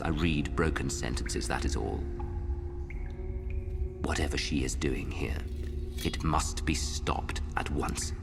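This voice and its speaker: An actor playing a centuries-old, regretful, bitter vampire in a video game, speaking in a measured, formal, theatrical way with a gravelly voice. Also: male voice, very deep voice, exaggerated voice. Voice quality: gravelly, breathy at times. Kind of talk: dramatic video game cutscene acting. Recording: long hall reverb under loud music.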